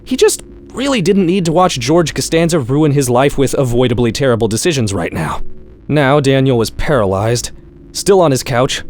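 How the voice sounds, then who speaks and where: A man's voice: medium pitch, sing-song, moderate pace, slight background noise. A man reading a scripted short story in a wry, sardonic storytelling manner, like a horror-comedy audiobook. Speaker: author-narrator reading own short story.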